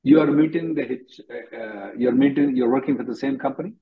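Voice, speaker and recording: A man's voice: medium pitch, a little fast, slightly rough. A man working as an immigration attorney, bluntly advising callers on a conference call and repeating himself for emphasis. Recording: dull lo-fi conference call audio.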